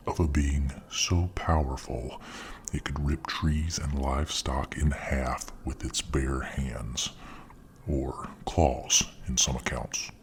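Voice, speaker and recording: A man narrating a story in a slow, soft ASMR style, often close to a whisper, with a very deep voice. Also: a little hiss or hum.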